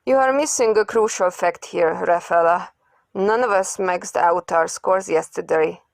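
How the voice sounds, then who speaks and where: Woman acting as young male cartoon genius, tired, pompous, wordy, precise, slow-paced, dry close sound. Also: lowish voice, gravelly, dry recording. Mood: explaining. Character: weary, pedantic young male genius inventor. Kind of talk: amateur comic dub acting.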